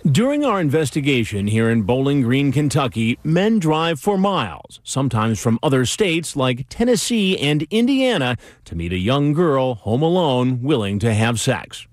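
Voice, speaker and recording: Man, documentary narrator, grave, measured, animated intonation. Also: mid-range voice, no room sound, clean studio sound.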